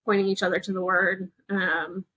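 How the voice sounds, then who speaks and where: A woman's voice: medium pitch, little pitch movement, normal speed, pushed and bright, slightly rough, no room sound, low-quality recording. A young adult woman, a podcast guest, telling her personal story in a conversational way.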